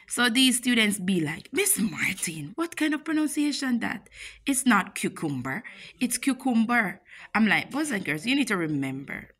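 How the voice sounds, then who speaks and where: A young woman with a Jamaican accent, a teacher telling a casual story-time vlog to a phone camera in her classroom, chatty and playful. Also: medium pitch. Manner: chatty, animated retelling with playful asides. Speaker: teacher and vlogger. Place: classroom, indoors. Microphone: phone camera at arm's length.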